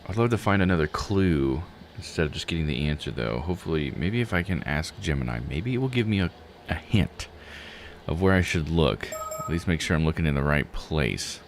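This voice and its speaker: A man's voice: a bass voice, lively intonation, fairly quick, a little hiss or hum. A man giving casual live game commentary, thinking aloud in a musing, self-questioning way.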